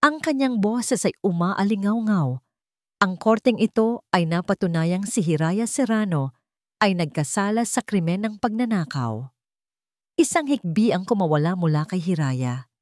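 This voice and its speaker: A woman with a Filipino accent reads a scripted dramatic story in measured, short phrases. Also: mid-range voice, lively intonation, no room sound, clean studio sound.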